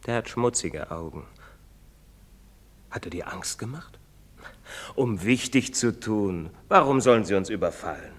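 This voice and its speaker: An actor playing a pious, fatherly village priest who admonishes gently in a preacherly way. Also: fairly low pitch, animated, unhurried, long pauses. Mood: admonishing. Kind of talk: stage play recording. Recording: long hall reverb.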